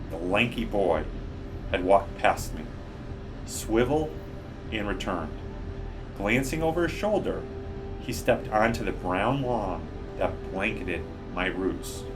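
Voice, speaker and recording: Very slow-paced man reading aloud, music behind. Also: lowish voice, expressive, rough recording. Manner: measured, deliberate phrasing. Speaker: guest storybook reader. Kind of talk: children's book read-aloud.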